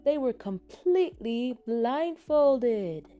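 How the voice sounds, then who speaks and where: A woman's voice: a little high, expressive, warm tone, soft background music, pitched above the performer's own voice. A woman voicing a smug, theatrical young male announcer in an audio story, dragging out words for suspense. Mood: showy, teasing. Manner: drawn-out, suspense-building delivery. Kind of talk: fan fiction audio story.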